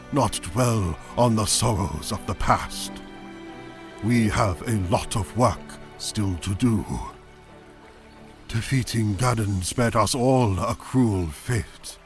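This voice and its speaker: A solemn, kindly old king of the fish-folk, played by a man, speaks with regal weight. His lowish voice moves slowly and deliberately, with soft music underneath, as in a fantasy audio drama. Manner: stately, measured, regal. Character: benevolent, solemn aging fish-folk king and grieving father.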